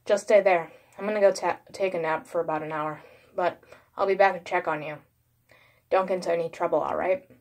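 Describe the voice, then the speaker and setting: A woman's voice: fairly low pitch, fairly even intonation, brisk, natural voice. A woman voicing an irritated but protective teenage older brother in a horror story, scolding in casual slang. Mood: bossy. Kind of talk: horror story narration with acted dialogue.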